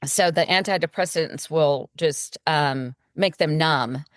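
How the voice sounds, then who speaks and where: A woman's voice: sits low, slow pace, no echo, clean studio sound. A middle-aged woman gynecologist answering interview questions in a confident, explanatory way. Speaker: gynecologist guest.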